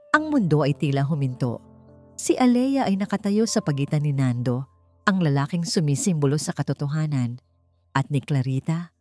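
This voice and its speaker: A woman's voice: low voice, a little mellow. A woman narrating a dramatic audiobook story in a scripted storytelling style. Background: background music.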